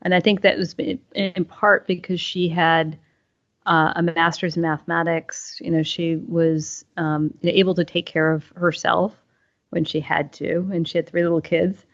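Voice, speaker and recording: A middle-aged woman from northern Maine answers interview questions in a low, steady voice. She is thoughtful and halting and restarts her sentences often. The audio sounds dull and lo-fi, with faint music behind her. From northern Maine, USA. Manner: thoughtful, halting, many fillers. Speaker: CEO, podcast guest.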